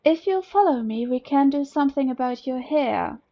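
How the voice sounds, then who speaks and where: Woman as a mild, high-voiced polite male orderly. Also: lively intonation, normal speed, dull audio. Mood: polite, hesitant.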